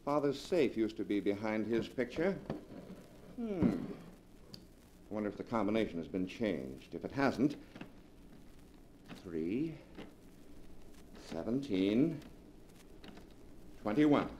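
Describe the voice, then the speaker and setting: A suave, scheming nephew, talks in a mocking, sardonic way, and his pitch rises and falls widely. It is classic 1940s radio melodrama acting. The old broadcast recording gives it a dated sound. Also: sing-song, slow pace, long pauses, background noise.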